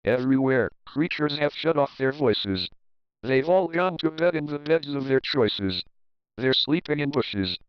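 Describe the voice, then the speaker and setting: A man's voice: medium pitch, expressive, a little slow, lo-fi sound, a little hiss or hum. A man narrating a children's picture book as a readalong, reading rhyming verse expressively and rhythmically. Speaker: storybook narrator.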